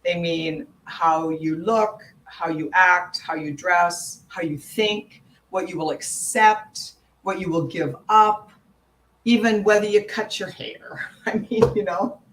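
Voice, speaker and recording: A woman's voice: fairly low pitch, slow pace, fairly bright tone, low-quality recording. A woman hosting an interview, talking in a reflective way and thinking aloud. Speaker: host. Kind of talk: conversational interview.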